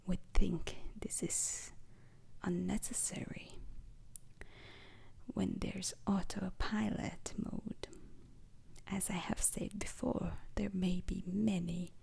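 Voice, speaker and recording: A woman giving a whispered ASMR roleplay lecture as a calm, methodical space-mission instructor, breathy and crackly, pausing deliberately between short phrases. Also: mid-range voice.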